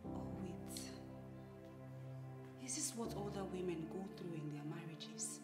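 A woman playing an exasperated wife, scolding loudly and praying in whispers. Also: lowish voice, unhurried, close to an everyday voice. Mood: despairing. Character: exasperated wife fed up with her husband. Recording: echoey room with music behind.

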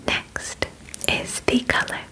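Whispering female alien examiner, played by a woman. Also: lightly acted. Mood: calm, clinical. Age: young adult. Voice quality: soft, breathy whisper. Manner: slow, deliberate, formal, reassuring instructions. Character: calm, clinical female alien examiner of abducted human. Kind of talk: ASMR roleplay. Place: bedroom, indoors. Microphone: close sensitive microphone.

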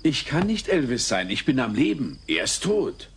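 A man's voice: medium pitch, wildly swooping pitch, normal speed, slightly rough, exaggerated voice. An actor playing a baffled, polite man with an incredulous manner in a dubbed sitcom audio play. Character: baffled, drawling, polite male Elvis-lookalike collector. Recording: noisy old cassette transfer.

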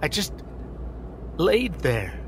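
An actor playing an insecure, self-loathing desk cop and father in a naturalistic audio drama, halting and repeating himself. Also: fairly high pitch, wildly swooping pitch, noisy background, full character voice. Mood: tense, frustrated.

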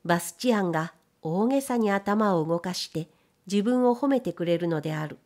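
A woman narrates an audiobook in a mid-pitched voice. Her delivery is measured and literary, shaped as careful storytelling from a script. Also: lively intonation, darkish tone.

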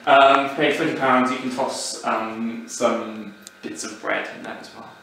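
A young man with a bright, forceful voice reels off deadpan nonsense about the house, hesitating on ums as he goes. The rooms ring with echo and a faint hiss sits underneath. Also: mid-range voice, normal speed. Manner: dry absurd jokes, many ums. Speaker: co-host of house tour. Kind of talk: jokey house tour. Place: small rented house, indoors. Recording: echoey rooms with faint noise.

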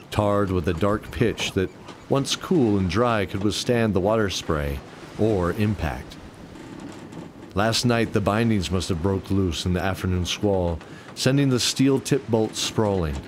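A man's voice: on the low side, a little hiss or hum. A man giving scripted narration of a fantasy adventure story in an audio drama. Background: music.

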